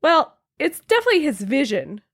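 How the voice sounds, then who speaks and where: A woman's voice: high voice, big pitch swings, a little fast, fairly bright tone, dry recording, clean studio sound. A woman co-hosting a casual film discussion, enthusiastic and swearing casually.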